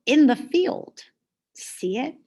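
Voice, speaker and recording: A woman's voice: high-pitched, big pitch swings, takes it slowly, darkish tone, pitched above the performer's own voice, exaggerated voice. A woman voicing a wily little male leprechaun from a children's storybook, exclamatory and coaxing. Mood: startled, tricky.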